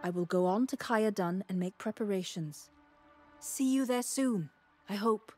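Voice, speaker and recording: An actress playing a perceptive, gentle alchemist in a fantasy video game, speaking in a measured, discreet way. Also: female voice, medium pitch, close to an everyday voice. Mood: thoughtful, hopeful. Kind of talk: scripted video game quest dialogue. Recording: game music behind the voice.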